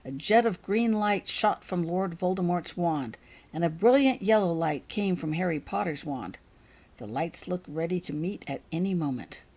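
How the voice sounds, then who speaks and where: A woman's voice: fairly low pitch, animated, normal speed, darkish tone. A woman reading her own fantasy fiction aloud as a scripted audiobook narration.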